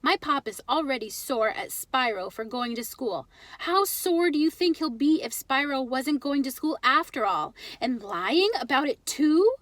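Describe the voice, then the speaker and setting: Woman voicing a frustrated, high-pitched storybook boy. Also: lively intonation, unhurried, a bit bright, dry recording. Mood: defensive. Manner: exasperated, insistent, complaining. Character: frustrated, stubborn young boy narrating his own life. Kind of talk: children's storybook read-aloud.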